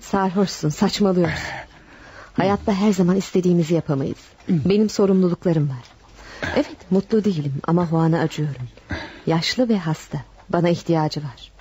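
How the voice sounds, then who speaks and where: Actress as mellow, torn wife in radio drama. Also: female voice, fairly low pitch, moderate pace, natural voice. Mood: firm, regretful. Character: torn, dutiful wife of ailing man, secret lover. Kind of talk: radio play acting. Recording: dull, noisy old radio tape.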